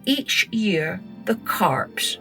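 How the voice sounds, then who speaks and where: Woman playing an indignant duck, loud, emphatic, aggrieved, bright storybook voice. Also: mid-range voice, expressive, extremely slow, vocal fry, soft background music, heavily acted. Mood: eager. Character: indignant male duck, middle brother.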